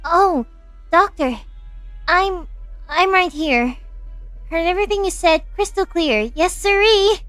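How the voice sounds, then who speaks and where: A woman playing a sassy, anxious young lab director in an expressive, flippant fan-dub style. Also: very high voice, slow, long pauses, no room sound, faint music behind, heavily acted. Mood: flustered. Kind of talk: fan-dub game drama acting.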